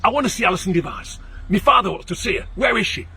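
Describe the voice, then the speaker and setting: Male narrator playing an angry young son, forceful and high. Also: lively intonation, quick pace, vocal fry, husky, full character voice. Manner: forceful, commanding.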